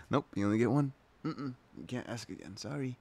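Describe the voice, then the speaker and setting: A man playing a tender, playful boyfriend in an intimate ASMR roleplay, breathy and often slipping into a whisper. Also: mid-range voice, normal speed, close to an everyday voice. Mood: playful, teasing. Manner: hesitant, intimate, soft-spoken. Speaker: ASMR voice performer.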